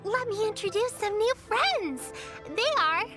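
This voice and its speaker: A woman voicing a small, cheerful, childlike fairy companion in an anime game, perky and squeaky. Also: expressive, a little slow, over music, full character voice. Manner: perky, eager.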